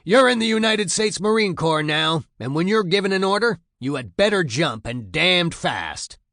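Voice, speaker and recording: Drill sergeant, acted by a male narrator: gruff, loud, raised pitch, foul-mouthed, contemptuous, berating recruits in a memoir reading. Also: animated, normal speed, dry recording, clean studio sound. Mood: barking, impatient. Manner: barking, berating commands.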